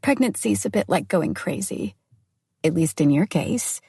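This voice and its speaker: A woman's voice: lowish voice, lively intonation, measured pace, mellow, husky, dry recording, clean studio sound, exaggerated voice. A woman voicing a young woman's blunt, bossy best friend who orders and teases. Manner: blunt, teasing, commanding.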